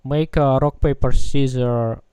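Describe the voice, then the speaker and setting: Slow, relaxed man coding on stream. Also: medium pitch, clean tone, dry recording. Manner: relaxed, hesitant, many fillers. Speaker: game developer streamer. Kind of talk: casual live coding chat. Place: home room at a desk.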